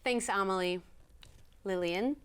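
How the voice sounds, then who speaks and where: A woman's voice: mid-range voice, measured pace, a bit bright, clean tone. A woman interviewer hosting a studio interview, encouraging her guest with frequent murmurs of agreement. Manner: friendly, encouraging with frequent backchannels. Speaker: interviewer, executive producer.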